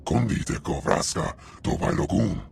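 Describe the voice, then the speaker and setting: A man's voice: deep voice, animated, a little slow, vocal fry, background noise, exaggerated voice. A man voicing a big, brutal alien cartel enforcer in a video game, menacing and growling in an invented alien tongue. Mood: mocking, hostile.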